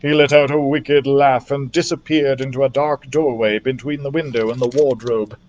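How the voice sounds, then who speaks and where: A man reading a children's adventure story aloud as a library storyteller, in an expressive narrating style. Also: mid-range voice, moderate pace, dull audio.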